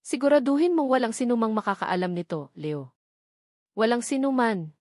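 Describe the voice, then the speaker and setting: A woman's voice: a little high, lively intonation, normal speed, darkish tone, no echo, lightly acted. Troubled, commanding Filipino businessman read by a female narrator, terse lines, story drama, dry sound. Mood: tense, commanding. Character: troubled, urgent businessman in his prime.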